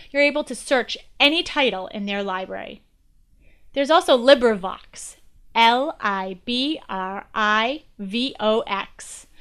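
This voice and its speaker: Female podcast presenter, higher-pitched and very clear-voiced, delivering scripted educational narration in a presentational manner. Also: a bit bright. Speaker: guest podcast host.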